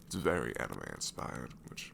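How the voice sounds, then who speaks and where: A man voicing a sassy male shadow demon in an ASMR roleplay, snarky and rambling with soft whispered asides. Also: extremely low pitch, animated, moderate pace, gravelly, noisy background, full character voice.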